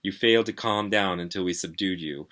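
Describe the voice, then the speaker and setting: A man voicing a suspicious, businesslike male paramedic in a story reading, asking flat, matter-of-fact questions. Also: sits low, a little fast. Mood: brisk, businesslike.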